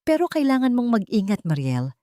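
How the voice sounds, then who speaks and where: A woman narrator acts out a serious lady doctor in a radio-style drama. She speaks in a grave, advising way, with a lifted pitch, in a dry, close recording. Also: big pitch swings, fairly quick, warm tone, no room sound. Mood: serious concern. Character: serious, cautioning female doctor.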